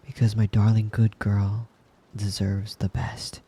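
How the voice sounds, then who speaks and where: Man acting a breathy, slow-murmuring possessive wolf boy. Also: on the low side, completely flat delivery, dark tone. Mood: devoted, tender. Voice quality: breathy, husky, often dropping to a whisper. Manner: tender, doting, slow and lingering with pet names. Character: devoted, possessive young male wolf-boy lover, doting. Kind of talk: intimate ASMR roleplay, soothing bedtime murmur.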